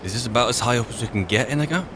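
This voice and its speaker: A man's voice: mid-range voice, rapid-fire, background noise, close to an everyday voice. A man playing a wry adventurer, musing aloud to himself and his companions as he roleplays a fantasy video game. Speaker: player roleplaying a game character on a let's play channel. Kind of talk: in-character improvised game narration.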